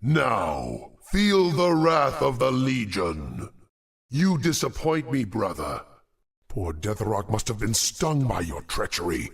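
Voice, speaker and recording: Angry demon villain from a video game, slow n creaky, big dramatic swings. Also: male voice, fairly low pitch, big pitch swings, heavily acted. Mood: furious, scornful. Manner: threatening, theatrical. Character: wrathful, betrayed demon lord villain.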